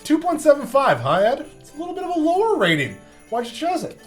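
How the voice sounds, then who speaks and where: A man hosting a review show in casual comic banter, with animated, exaggerated reactions. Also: extremely high pitch, fast, faint music behind. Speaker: beer review show host.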